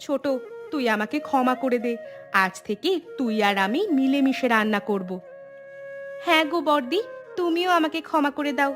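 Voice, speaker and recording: A woman's voice: sits high, moderate pace, fairly bright tone, soft background music. A woman playing a young village daughter-in-law in a cartoon story, competitive and eager to please, with a deferential yet boastful manner. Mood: apologetic. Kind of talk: scripted cartoon story acting.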